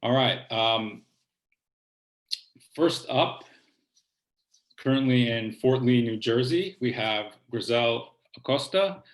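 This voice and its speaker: A man hosting a virtual poetry reading over a video call from home, relaxed and hesitant, with many um and uh fillers. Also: mid-range voice, slow pace, long pauses, rough recording. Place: home, kitchen table or similar room.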